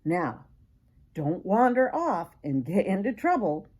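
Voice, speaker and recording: An older woman voicing a kindly, patient man in a children's storybook, gently instructive. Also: lowish voice, big pitch swings, slow, breathy edge, exaggerated voice. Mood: warning. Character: kindly man, a monkey's patient, cautioning friend.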